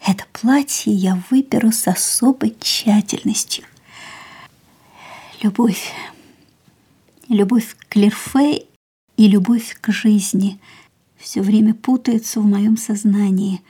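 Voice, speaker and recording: An actress playing an ailing, proud and wistful young woman, reflecting in a measured, theatrical way in a radio drama. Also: medium pitch, fairly even intonation, warm tone, breathy edge. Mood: bittersweet, reflective. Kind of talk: radio play dialogue and inner monologue.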